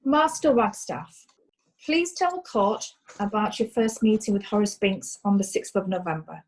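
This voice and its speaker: A woman playing a brisk, formal lawyer questioning witnesses in a comic courtroom play. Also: medium pitch, close to an everyday voice, poor audio. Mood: formal, probing. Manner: formal, inquisitive.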